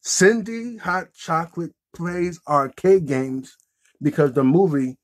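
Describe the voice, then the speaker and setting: Husky-voiced man hosting. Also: fairly high pitch, slow. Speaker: puppet show host. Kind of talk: casual improvised chat with a puppet. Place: small indoor room with green backdrop.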